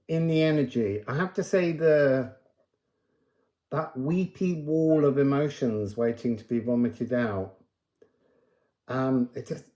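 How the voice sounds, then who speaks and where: A man's voice: fairly high pitch, darkish tone. A man giving an unscripted spiritual card reading to viewers, musing and wandering from thought to thought. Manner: musing, digressive, breaks into chanted phrases.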